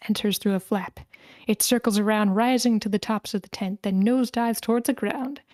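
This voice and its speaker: A woman's voice: mid-range voice, darkish tone, dry recording. A woman reading a screenplay's stage directions as the narrator in scripted narration.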